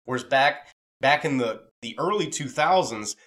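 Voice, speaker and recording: Casual, halting man sharing opinions. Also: mid-range voice, expressive, a bit bright. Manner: halting, repeats words as he builds a point. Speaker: podcast co-host.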